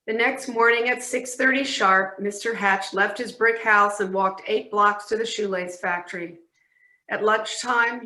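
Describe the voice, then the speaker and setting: A woman's voice: medium pitch, sharp tone. A woman narrating a children's story for a readers theatre performance in an echoey room.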